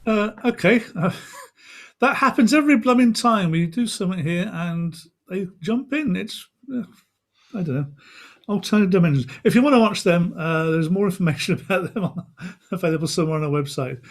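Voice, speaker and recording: A man's voice: sits high, lively intonation, normal speed. A man hosting an online event in an informal, chatty and rambling way. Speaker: event host. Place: indoors on a video call.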